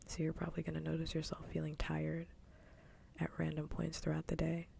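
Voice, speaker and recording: A woman livestream host chatting casually in a soft, breathy whisper for ASMR, gentle and unhurried, close to the microphone. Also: sits low, monotone, slight background noise.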